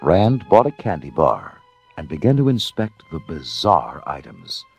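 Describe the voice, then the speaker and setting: A man narrating a scripted storybook as a dramatic, measured storyteller. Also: extremely low pitch, big pitch swings, creaky, husky. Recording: music under the narration. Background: music.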